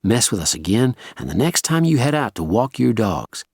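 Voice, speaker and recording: Guy doing a southern us heavy, courtly and steely, higher than his own voice, kinda gravelly. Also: big pitch swings, normal speed, a little mellow, dry recording, clean studio sound. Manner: courtly charm with a steely edge. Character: polite, menacing male Southern American heavy.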